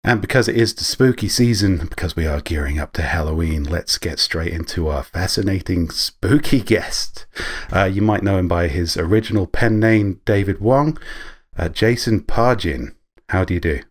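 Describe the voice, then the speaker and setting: A man hosting a podcast, introducing guests and joking in a relaxed way. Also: sits low, normal speed. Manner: jokey, relaxed emcee. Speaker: podcast host. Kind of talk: video call podcast chat.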